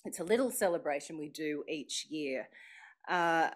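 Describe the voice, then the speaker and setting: An Australian woman is emceeing a live event, and her pitch swings widely as she talks. She sounds chatty and playful, and speaks straight to the room as she draws the crowd in. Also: lively intonation, moderate pace. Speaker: master of ceremonies at an event launch. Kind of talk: live speech to an audience. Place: function room with a live audience.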